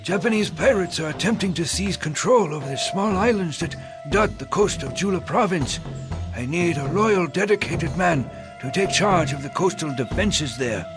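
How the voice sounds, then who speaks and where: A stately Korean king of old, acted by a man with a somewhat high voice. He speaks deliberately and formally, with regal command, in game-cutscene style. Prominent music accompanies him. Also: animated, close to an everyday voice. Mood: solemn, gracious. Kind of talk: scripted historical game cutscene acting. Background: orchestral game music.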